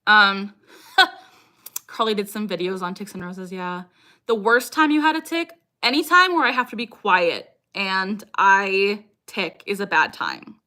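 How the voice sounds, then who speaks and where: Bright-voiced young woman chatting on livestream. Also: medium pitch, very animated, a little slow. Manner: sudden repeated vocal tics interjected into talk. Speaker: livestream host, content creator. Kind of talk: casual livestream Q&A chat, answering viewer comments.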